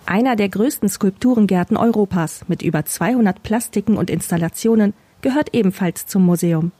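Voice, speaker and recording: A woman's voice: mid-range voice, fairly quick, dark tone. A woman working as a radio reporter, reading scripted narration.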